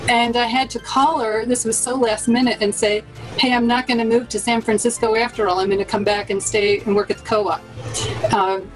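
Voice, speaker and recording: A woman's voice: fairly high pitch, fairly even intonation, a little fast, soft background music, low-quality recording. A middle-aged American woman casually reminiscing in an interview. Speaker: interviewee, former student co-op member.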